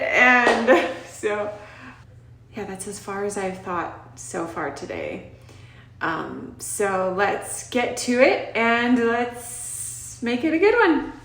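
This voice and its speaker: A woman's voice: medium pitch, fairly bright tone. A middle-aged woman chatting casually and in a rambling, giggly way as she films a vlog. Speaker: vlogger. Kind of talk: casual chat to camera.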